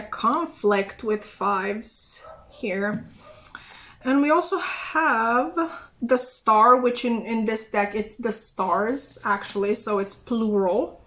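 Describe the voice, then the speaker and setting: A young woman with a slightly high voice gives a chatty tarot reading straight to her viewers. She rambles, trips over her words and fills the gaps with ums and little giggles, all in an easy, casual tone. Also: fairly bright tone. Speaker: tarot reader.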